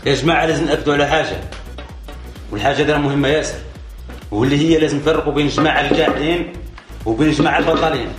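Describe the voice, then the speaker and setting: A man's voice: fairly high pitch, racing, long pauses, natural voice. A man with an Algerian accent playing an emphatic idler in a comedy sketch, insisting and repeating his point. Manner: emphatic, repetitive. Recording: very echoey room, music behind.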